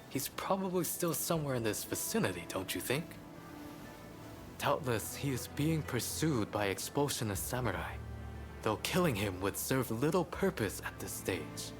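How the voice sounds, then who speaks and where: Cautious samurai scholar, lively pitch, formal chatty phrasing, game cutscene, music behind. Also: male voice. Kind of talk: video game cutscene acting.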